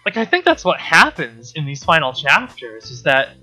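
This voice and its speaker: A man's voice: on the high side, wildly swooping pitch, moderate pace, pushed and bright, faint music behind, rough recording. A man reading aloud and commenting off the cuff as a host, sarcastic and incredulous, with exasperated, profane asides.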